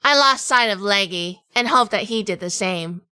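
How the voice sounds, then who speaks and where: Actress as hard-boiled noir heroine, woman, bright forceful tone, crisp, dry studio sound, wary, scripted audio drama narration. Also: mid-range voice, lively intonation, moderate pace, no echo. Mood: wary, cool. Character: tough, wary, armed woman narrating a noir crime story.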